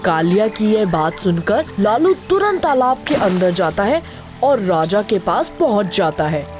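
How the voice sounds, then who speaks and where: A woman's voice: mid-range voice, big pitch swings, measured pace. A woman narrator reading a scripted moral story for children. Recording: music behind the narration.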